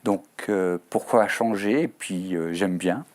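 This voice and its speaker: A man's voice: low-pitched, slow, darkish tone. A Swiss French man, a writer as a guest on a TV studio interview, speaking thoughtfully with frequent hesitations and fillers. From Switzerland. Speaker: author guest.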